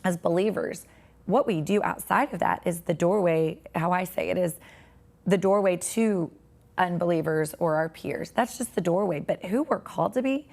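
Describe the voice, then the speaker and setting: A woman in her forties, a podcast guest with a raspy, tired voice, talking earnestly and reflectively about faith. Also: fairly low pitch, moderate pace, darkish tone. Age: 40s.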